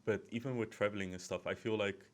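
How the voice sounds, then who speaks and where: A man's voice: lowish voice, fairly even intonation, fast. A man hosting a podcast, interviewing casually and feeling his way through loosely phrased questions. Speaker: podcast host.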